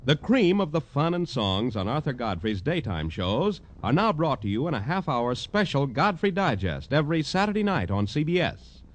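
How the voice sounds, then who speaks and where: A male announcer reads a scripted promo in vintage 1950s radio style. His voice sits a little high and moves with wide, animated inflection, polished throughout. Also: normal speed, few pauses.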